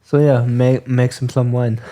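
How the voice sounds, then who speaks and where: A man's voice: medium pitch, little pitch movement, measured pace, warm tone, a little hiss or hum. A young man with a mid-range voice talks as a podcast co-host. His delivery is informal and conversational. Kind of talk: casual podcast chat.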